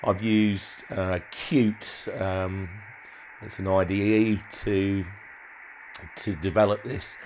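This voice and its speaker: A man's voice: low-pitched, little pitch movement, slow, darkish tone, slight background noise, low-quality recording. A man giving an informal hands-on demonstration as a hobbyist, thinking aloud in a hesitant way and often trailing off. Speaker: hobbyist presenter demonstrating modified radio gear.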